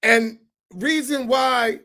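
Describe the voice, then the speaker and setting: A man's voice: very high-pitched, fairly even intonation, drawn-out words, sharp tone. A middle-aged man from the United States, an imam speaking on a roundtable panel in an emphatic, preacherly way, often checking in with the audience with rhetorical questions.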